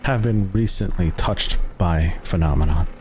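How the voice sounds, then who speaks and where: A man with a very low voice leads a tabletop game as its game master. He speaks slowly, leaving long dramatic pauses that build suspense. Some background noise sits behind him. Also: darkish tone.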